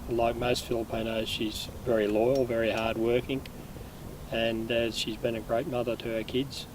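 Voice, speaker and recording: A man, a husband, speaking plainly and in a measured way in an interview. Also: medium pitch, level tone. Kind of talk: casual interview. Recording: distant mic, outdoor noise.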